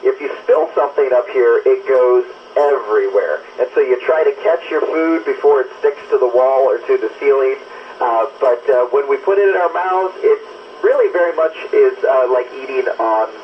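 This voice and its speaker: A man's voice: medium pitch, moderate pace. A man speaking as an astronaut over amateur radio, explaining things to students in a plain, enthusiastic question-and-answer style. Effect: amateur radio transmission. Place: aboard a space station. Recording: muffled, faintly noisy ham radio link.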